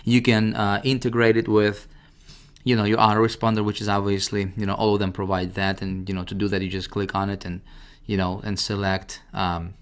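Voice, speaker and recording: Man, fairly low voice, informal tutorial presenter, conversational screen-share walkthrough, frequent check-ins with the listener. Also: flat delivery, a little fast, clean tone.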